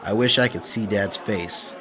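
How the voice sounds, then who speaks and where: A man playing a cool, bold masked vigilante in a radio drama, brisk and commanding. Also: fairly low pitch, lightly acted. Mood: assured.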